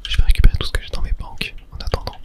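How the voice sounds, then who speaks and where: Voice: very fast, a little mellow. A video host gives ASMR gaming commentary entirely in a whisper. The delivery is casual and unplanned, murmuring each thought aloud and dotting it with tiny filler words.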